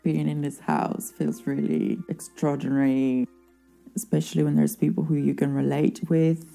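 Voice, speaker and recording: A man giving soft, hesitant documentary testimony and often correcting himself. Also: medium pitch, lively intonation, moderate pace, creaky. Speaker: asylum seeker interviewee. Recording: faint music bed underneath.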